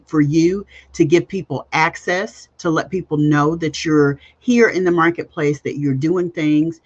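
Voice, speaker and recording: A woman's voice: low-pitched, highs cut off. A woman hosting a coaching podcast livestream, speaking emphatically, repeating key phrases and putting direct questions to her listeners. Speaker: podcast host and business coach.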